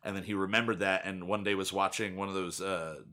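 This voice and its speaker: A man's voice: low voice, steady tone, fairly quick, pushed and bright. A young man hosting a casual podcast, rambling and chatty, with lots of fillers. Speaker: podcast host. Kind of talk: casual chat.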